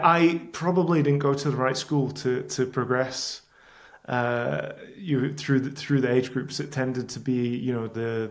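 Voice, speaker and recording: A man's voice: medium pitch, gravelly. A man in his thirties with a Scottish accent, talking casually as a podcast guest and rambling a little with restarts. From Scotland. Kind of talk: casual interview chat.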